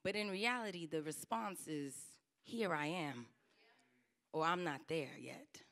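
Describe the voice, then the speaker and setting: A woman's voice: deep voice, a little slow. A young woman preaching a trial sermon at a lectern into a handheld mic, earnest, her emphasis rising and falling. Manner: earnest, rising and falling emphasis, softening to a near whisper at the close. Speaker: licentiate preacher. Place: church stage, indoor. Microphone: handheld microphone at a lectern.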